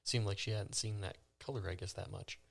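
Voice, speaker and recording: A man's voice: lowish voice, fairly even intonation, brisk, gravelly. A man talking casually and informally as a player in a tabletop game, often questioning. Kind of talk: casual improvised game chat.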